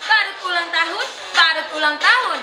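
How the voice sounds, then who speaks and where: A shrill, boastful self-styled artist. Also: female voice, sharp tone, full character voice. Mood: brash, showy. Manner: exaggerated, taunting, drawn-out words with cackles and singsong. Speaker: student stage actor in a monologue competition. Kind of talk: theatrical stage monologue, declamatory. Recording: roomy echo, faint background noise.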